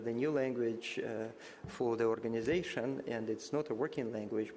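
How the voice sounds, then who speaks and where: A man whose first language is Russian, giving formal remarks in English at a conference side event, halting and measured with frequent pauses. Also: medium pitch, darkish tone, a little hiss or hum. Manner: halting, many filler sounds and restarts, measured. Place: large conference hall. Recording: hall reverb with faint ambience.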